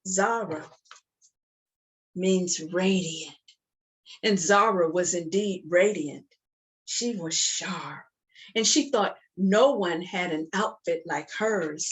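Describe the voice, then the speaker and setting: A woman reading a story aloud to young children in an expressive, deliberate, interactive storytime style. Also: on the low side, low-quality recording. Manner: expressive, deliberate, with acted sound effects and call-and-response.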